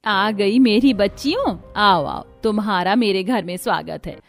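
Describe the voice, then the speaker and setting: A woman's voice: medium pitch, animated, soft background music. A narrator as a welcoming cartoon mother-in-law. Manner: welcoming, reassuring, drawn-out greetings. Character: welcoming middle-aged mother-in-law greeting her new brides. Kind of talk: cartoon story character voice.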